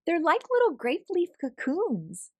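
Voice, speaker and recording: Woman voicing an eager, high-pitched little storybook girl. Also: wildly swooping pitch, a little slow, darkish tone, no room sound, dull audio, exaggerated voice. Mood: playful. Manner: eager, exclamatory. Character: excited, playful young girl visiting her grandmother. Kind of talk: children's storybook character reading.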